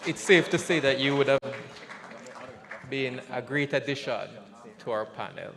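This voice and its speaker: A man's voice: medium pitch, measured pace, long reverb tail, background noise. A man moderating a conference Q&A, brief and courteous. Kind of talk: moderating a panel Q&A.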